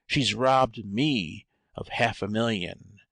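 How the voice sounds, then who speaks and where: A man's voice: lowish voice, slow, creaky, a bit bright, no echo, full character voice. A man playing a grandiose cult prophet turned coarse swindler in an audiobook drama, blustering theatrically with an American accent. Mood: indignant.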